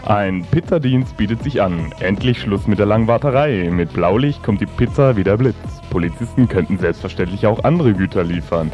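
Mellow low-voiced man, dull radio recording. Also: a little fast, few pauses. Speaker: satirical radio presenter. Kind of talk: scripted mock-advert narration. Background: music.

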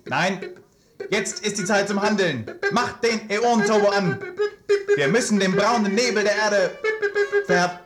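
A man's voice: high voice, unhurried, a bit bright, soft background music. An actor playing a cool, commanding male starship officer in a satirical radio play, giving stiff mock-heroic orders.

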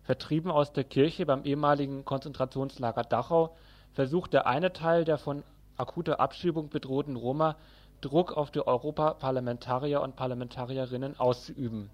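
Male radio news presenter, mid-pitched voice, reading a scripted report. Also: fairly even intonation, fairly quick.

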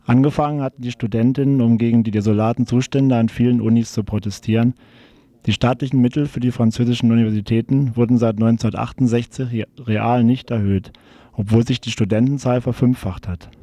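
Guy reading news script, soft dark voice, sober, really dry recording. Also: mid-range voice, no echo. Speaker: radio news reader.

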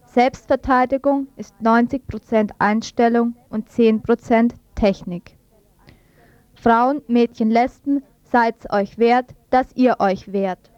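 Higher-voiced woman presenter, slightly dull recording. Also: a little mellow, no echo. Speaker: radio presenter. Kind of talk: scripted news reading.

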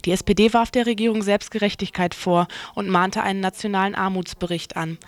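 A woman's voice: medium pitch, fairly even intonation, fairly quick, no echo. Woman reading radio news. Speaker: radio newsreader. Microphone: studio microphone in a speaker's booth.

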